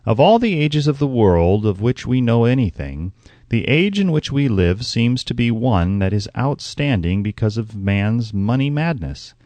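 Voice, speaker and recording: A man reading an audiobook as scripted narration, in a measured, formal and emphatic way. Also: mid-range voice, big pitch swings, mellow, dry recording.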